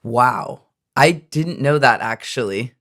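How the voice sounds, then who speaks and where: A man's voice: medium pitch, takes it slowly, fairly bright tone. A man co-hosting a podcast, mostly giving short listening responses and brief remarks.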